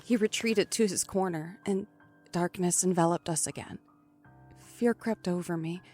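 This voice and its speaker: A woman's voice: lowish voice, measured pace, a little mellow. An actress playing a frightened but defiant trapped woman in a first-person thriller audio drama, with dramatic, suspenseful delivery. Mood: tense, uneasy. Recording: music under the voice.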